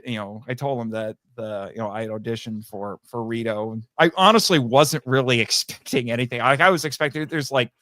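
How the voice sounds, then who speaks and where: A man's voice: on the high side, no echo. Rambling male podcast guest, dry close sound. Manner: rambling, animated storytelling with acted-out quotes. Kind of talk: casual anecdotal interview chat.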